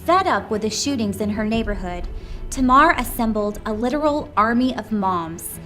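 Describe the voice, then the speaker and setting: A woman's voice: fairly high pitch, animated, normal speed, sharp tone. A woman reading a scripted tribute story aloud as narration. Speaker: company employee reading submission stories. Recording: music behind the voice. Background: background music.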